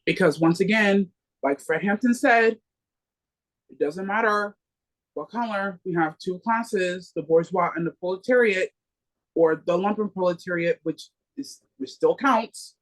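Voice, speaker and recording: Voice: mid-range voice, a little slow, rough recording. Earnest, rallying political book club speaker. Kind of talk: political discussion.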